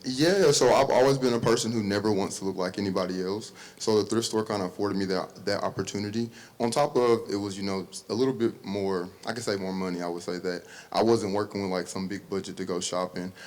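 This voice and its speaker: A man from Mississippi, a podcast guest, telling his story in a relaxed way. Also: lowish voice, fairly quick, hardly any pauses. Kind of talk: casual conversation.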